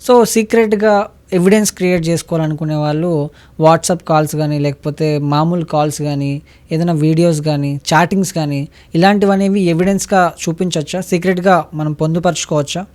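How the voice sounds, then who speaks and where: Casting: anchor with a medium-pitched voice, putting questions to an expert guest. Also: fairly even intonation, brisk, darkish tone. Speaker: interview host, anchor. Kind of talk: posing questions to a legal expert.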